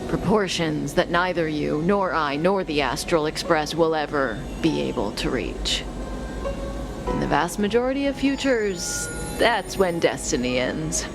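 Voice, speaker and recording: An actress playing a cool, enigmatic, teasing female schemer in a video game, with a languid, softly sardonic delivery and a velvety voice. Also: low-pitched, expressive, unhurried, creaky, full character voice. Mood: grave, measured. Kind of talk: scripted video game character dialogue. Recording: studio voice over game music.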